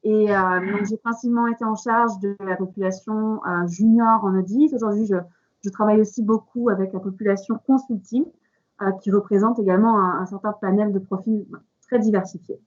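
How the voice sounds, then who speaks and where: Woman recruiter chatting on a panel, semi formal, average pitch, slightly muffled recording. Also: fairly even intonation, fairly quick. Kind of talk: semi-formal conversational presentation. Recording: slightly dull, lo-fi audio.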